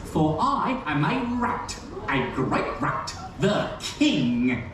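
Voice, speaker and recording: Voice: mid-range voice, big pitch swings, very slow, crowd noise. A performer voicing a boastful, menacing King Rat in theatrical rhyme for a children's puppet show. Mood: gloating, menacing. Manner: menacing, gloating, theatrical rhyming delivery. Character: boastful villainous King Rat, nastiest ruler of the rats. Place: theatre, over a PA, recorded from audience. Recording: echoey theatre from far off, music behind.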